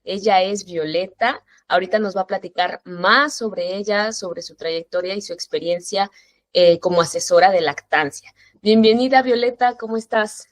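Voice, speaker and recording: A woman's voice: mid-range voice, normal speed, no echo. A woman in her thirties hosts a parenting interview show, welcoming families and her guest. She speaks in a mid-range voice that sounds dry and close. Her manner is informal and welcoming. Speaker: host. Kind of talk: friendly interview hosting.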